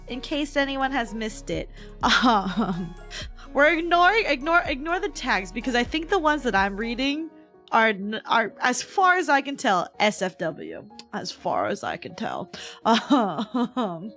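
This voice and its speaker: A woman's voice: on the high side, sing-song, unhurried, fairly bright tone, faint music behind. A woman livestreamer chatting casually and playfully with her viewers in a giggly, bantering way. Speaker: VTuber livestreamer.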